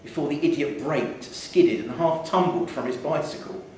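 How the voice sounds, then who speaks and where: A man reading a short story aloud in a measured, wry storytelling manner, live in a theatre. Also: a little high, a little mellow. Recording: echoey theatre hall, distant mic, faint noise.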